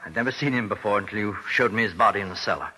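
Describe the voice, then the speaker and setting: A man's voice: fairly low pitch, very fast, sharp tone, breathy edge, poor audio. A man playing an obsessed, desperate ventriloquist, theatrical and bitter, in vintage radio drama style. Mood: guarded, weary. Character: obsessed, desperate male ventriloquist and puppet carver, tormented. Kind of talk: 1940s-50s radio drama acting. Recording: old radio broadcast.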